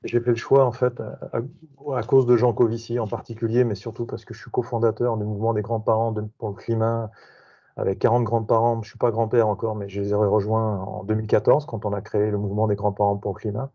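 A man's voice: fairly low pitch, flat delivery, fairly quick. A man introducing himself on a video call, hesitant with many fillers and restarts. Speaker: association member introducing himself. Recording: dull video call audio.

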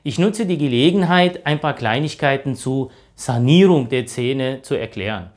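A man around forty speaks as a dentist in a medium-pitched voice. He explains things informally and reassures the listener, speaking into a lapel mic in a small clinic room. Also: animated, moderate pace. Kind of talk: informal explanation and patient consultation.

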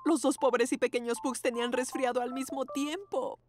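A woman voicing a loving, patient pug mother in a cartoon dub, speaking softly. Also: high-pitched, steady tone, moderate pace, natural voice. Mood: tender. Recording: studio dub with music.

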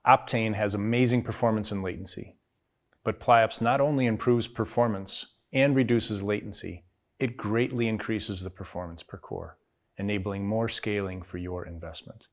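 A man's voice: fairly low pitch, fairly even intonation, normal speed. A middle-aged man presenting a scripted keynote with a polished, promotional delivery. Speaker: company executive presenting a keynote.

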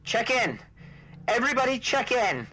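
A man voicing a panicked male soldier shouting urgent warnings over the radio in an audio story. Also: sing-song, moderate pace, full character voice. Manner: urgent, shouting, high-strained.

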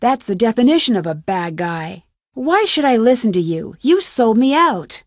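A woman's voice: fairly high pitch, sing-song, normal speed, no room sound, clean studio sound. A woman voicing a determined, suspicious young amateur detective in a video game, with an earnest, questioning manner. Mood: accusing, firm.

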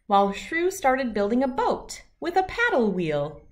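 A woman's voice: high voice, wildly swooping pitch, a little slow. A middle-aged woman narrating a children's storybook as a read-aloud host.

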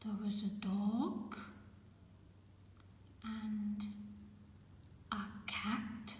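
A woman reading a children's picture book aloud as a storyteller, in a measured, gentle way. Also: mid-range voice, level tone. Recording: echoey room with background noise.

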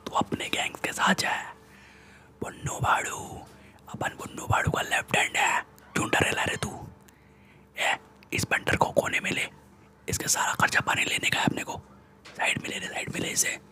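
A young man playing a swaggering, bullying Mumbai gangster in a breathy ASMR whisper, with slangy, boastful Mumbai Hindi speech, close to the microphone. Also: moderate pace, pauses often, bright, forceful tone. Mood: menacing. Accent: Mumbai Hindi street slang. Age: young adult. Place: small room indoors. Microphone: close desktop condenser microphone.

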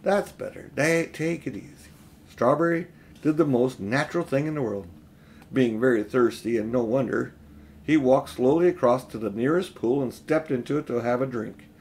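A middle-aged man reading a children's storybook aloud in expressive narration. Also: medium pitch, moderate pace. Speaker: audiobook reader. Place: small room at home, indoors.

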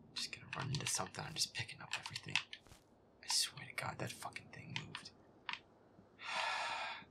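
A man playing a feisty, profane young werewolf boyfriend muttering jumpily through a scary video game in a breathy, hushed voice. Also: on the low side, exaggerated voice. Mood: uneasy muttering. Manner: profane, irritable, jumpy muttering. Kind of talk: improvised-sounding gaming commentary, audio roleplay.

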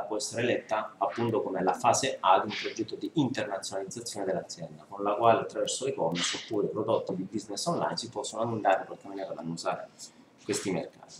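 A man in his thirties with a lowish voice explains his book earnestly, piling up lists of points. He is seated at a table and picked up by a far camera. The small room sounds echoey, with a faint hiss behind him. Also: quick pace. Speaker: book author presenting his book. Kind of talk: book presentation talk.

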